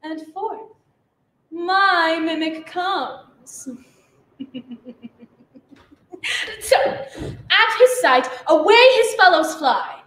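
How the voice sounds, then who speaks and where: A woman's voice: very high-pitched, expressive, drawn-out words, pauses often, a bit bright, echoey room, exaggerated voice. A woman performing a mischievous sprite in a live Shakespeare monologue, giggling and sing-song. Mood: gleeful. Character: mischievous sprite Puck, gleeful trickster.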